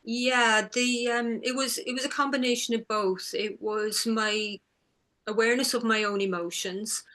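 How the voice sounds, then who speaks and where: Bright-voiced woman author. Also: on the high side, steady tone, measured pace. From Ireland. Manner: thoughtful, hesitant, with many ums. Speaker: author and guest. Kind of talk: interview answers.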